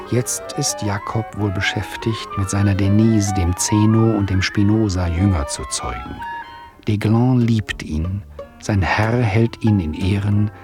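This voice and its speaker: An actor as a wry storyteller narrating a radio play in a theatrical, rhetorical manner. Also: male voice, on the low side, lightly acted. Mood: solemn, wry. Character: wry, omniscient male storyteller addressing his listener.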